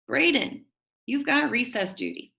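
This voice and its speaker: A brisk, good-humoured substitute teacher as a woman reads him aloud addresses his class from a children's storybook. He is instructive and a little jokey, getting the pupils down to business. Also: a little high, expressive, creaky, bright, forceful tone, voice pushed up, full character voice.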